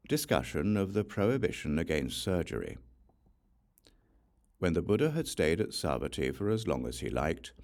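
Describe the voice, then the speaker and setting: A man reading a religious text as scripted audiobook narration in a measured, deliberate way. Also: sits low, a little mellow. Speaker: audiobook reader.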